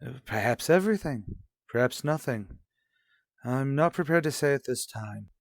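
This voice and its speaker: An actor playing a stern, guarded husband in a radio soap drama, speaking in a formal, measured, skeptical way. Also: male voice, mid-range voice, animated, no room sound, natural voice. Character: stern, guarded husband and father in troubled marriage. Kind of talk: revived vintage radio soap drama acting.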